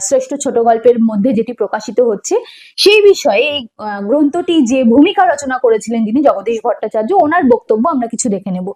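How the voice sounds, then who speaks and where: A woman's voice: fairly high pitch, a little mellow, low-quality recording. A woman teacher giving an explanatory online lesson in a didactic way, posing questions and answering them herself, and repeating key points. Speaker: teacher of an exam preparation course.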